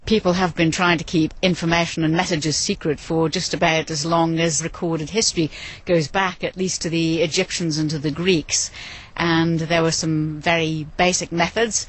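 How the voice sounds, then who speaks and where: A woman giving a measured, explanatory interview as an expert on history. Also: sits low, flat delivery, rough recording. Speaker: museum trust director being interviewed.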